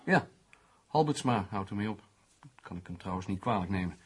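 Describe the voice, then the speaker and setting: A man's voice: fairly low pitch, lively intonation, brisk, breathy edge. An actor in a radio play playing a teasing, hard-drinking newspaperman with a pompous, mock-poetic way of talking. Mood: jovial, needling.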